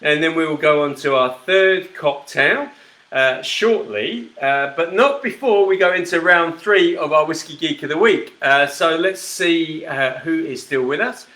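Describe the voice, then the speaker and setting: A chatty middle-aged man hosts a live whisky broadcast in a relaxed, friendly way, reading out viewer comments as he goes. His voice is bright and forceful and sits a little high for a man. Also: a little slow. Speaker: host of a live whisky show. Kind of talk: casual live-stream chat.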